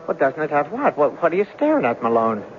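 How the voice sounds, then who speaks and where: Indignant sweetheart, higher voice, theatrical, 1970s radio drama, muffled hissy recording. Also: male voice, huge pitch swings, fast talker. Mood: irritated. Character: hot-tempered lovesick Irish man, engaged, indignant.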